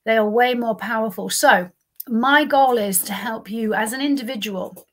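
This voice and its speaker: A woman's voice: fairly high pitch, moderate pace. A woman in her fifties hosting a solo talk show, slightly nasal from a cold, talking earnestly and stressing single words. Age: 50s. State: congested from a cold. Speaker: podcast host and lifestyle coach. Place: home office, indoors.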